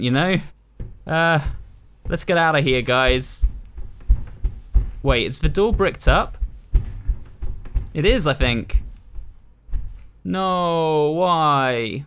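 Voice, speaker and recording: A man's voice: on the high side, animated, slow, pauses often, a bit bright, clear, resonant tone. A British man giving chatty, casual commentary while playing a horror game, addressing his viewers as host. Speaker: gaming video host. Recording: voice over game music. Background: ominous game music.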